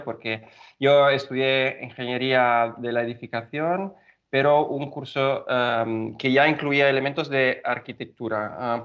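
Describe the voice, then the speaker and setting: Italian-accented man, hesitant guest, muffled lo-fi sound. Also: medium pitch. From Italy. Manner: halting, many fillers and restarts. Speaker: guest engineer. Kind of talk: podcast interview.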